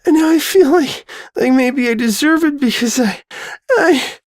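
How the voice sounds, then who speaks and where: A man's voice: very high-pitched, measured pace, dry recording, studio quality, pitched above the performer's own voice. A man voicing a distraught, needy, self-pitying teenage girl in a story reading, jittery and faltering. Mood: wailing, tearful. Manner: stammering, wailing.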